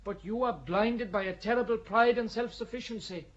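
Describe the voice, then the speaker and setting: A man's voice: sits high, moderate pace, muffled recording, lightly acted. A man playing an earnest, pitying churchman in an audio drama, speaking in a solemn, persuasive manner. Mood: grave reproof. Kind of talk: audio drama acting of a stage play.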